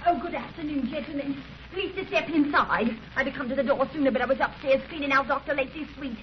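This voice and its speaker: Actress as a high-voiced, fretful old-radio landlady. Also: fast talker, natural voice. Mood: chatty, deferential. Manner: folksy, exclaiming, chatty, lamenting. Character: fretful, chatty working-class landlady, folksy and respectful. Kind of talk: 1930s radio acting. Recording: noisy, muffled 1930s radio recording.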